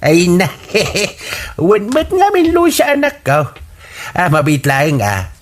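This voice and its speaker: Man as old Ilocano dad, Filipino accent, jolly, highish swooping voice, radio comedy drama. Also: huge pitch swings, slow, heavily acted. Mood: amused. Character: jolly old father chuckling with his daughter.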